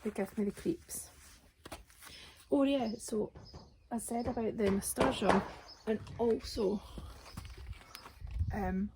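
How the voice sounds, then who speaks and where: A woman, her voice raspy today, chatting casually as she works in her outdoor garden with birdsong around her. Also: a little high, takes it slowly, long pauses, heavy background noise. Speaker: gardening vlogger. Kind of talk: casual chat while gardening.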